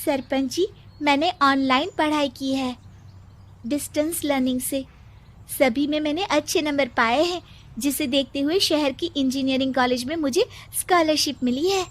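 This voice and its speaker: A woman's voice: sits high, normal speed, lightly acted. A woman voicing an earnest, hopeful young village student in a cartoon, eager, polite and innocent. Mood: proud, polite. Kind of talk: Hindi cartoon character acting.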